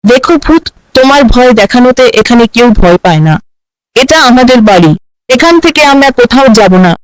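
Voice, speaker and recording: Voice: mid-range voice, animated, normal speed, dry recording, natural voice, poor audio. A performer voicing a bold, defiant housewife with an argumentative manner in a cartoon fairy tale. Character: bold, defiant housewife who refuses to budge.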